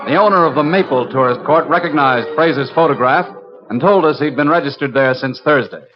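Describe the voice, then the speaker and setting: A man's voice: fairly high pitch, fairly quick, close to an everyday voice. A man playing a federal agent who narrates his case in a procedural, matter-of-fact way in an old radio crime drama. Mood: factual. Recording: muffled vintage radio recording, music behind.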